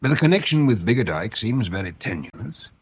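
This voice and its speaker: An English detective inspector in a radio drama, courteous and quietly stubborn. His voice is fairly low and husky, with a lot of movement in pitch despite his understated manner. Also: big pitch swings, fairly quick, exaggerated voice. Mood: doubtful, inquiring. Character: polite, sceptical, quietly stubborn male English police inspector. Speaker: actor playing a detective inspector.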